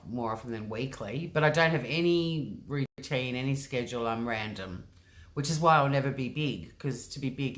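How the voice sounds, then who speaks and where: Very low-voiced woman chatting on a livestream. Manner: chatty, rambling, often says um and like. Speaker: livestream host. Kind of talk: casual livestream chat reading comments. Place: at home.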